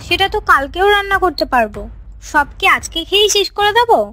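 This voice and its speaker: Snappy jealous young village wife in a cartoon, lady acting, really high sharp voice. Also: expressive, quick pace, no echo, full character voice. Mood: snappy, defiant. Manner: snappy, defiant. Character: jealous, quarrelsome young village daughter-in-law. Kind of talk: cartoon character dialogue.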